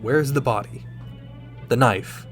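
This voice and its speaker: A man's voice: mid-range voice, slow, faint music behind, close to an everyday voice. A man playing a stern, exasperated starship captain in a sci-fi radio drama, blunt and questioning. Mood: indignant. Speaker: voice actor.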